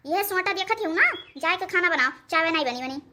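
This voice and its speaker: Bossy old Awadhi matriarch played by a woman, very high bright voice, hectoring cartoon scold. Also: lively intonation, quick pace, exaggerated voice. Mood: bossy, threatening. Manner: hectoring, repeating tags as threats. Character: bossy, scolding old village mother-in-law. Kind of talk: cartoon dialogue.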